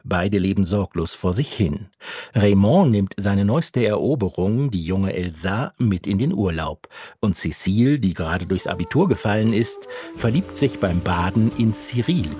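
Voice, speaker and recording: A low, husky male voice delivers a scripted arts review for radio. The sound is close and dry, with faint music behind the critic at times. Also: animated, a little slow, warm tone, dry recording.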